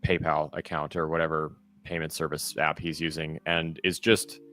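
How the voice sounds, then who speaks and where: A man co-hosting a morning podcast in casual chat, with a fairly monotone voice, giving practical advice with lots of ums and you-knows. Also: a bass voice, moderate pace. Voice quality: relatively monotone voice. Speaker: co-host.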